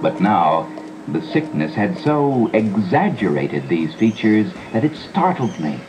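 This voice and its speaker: Loud music plays under an old cassette recording of a gothic audio drama. a brooding gentleman narrator, uneasy and theatrical. His low voice rises and falls widely, with deliberate dramatic pauses. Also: big pitch swings, dull audio. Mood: pitying awe. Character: gloomy gentleman narrator, uneasy boyhood friend visiting a decaying mansion. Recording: old cassette dub re-recorded through boombox mics.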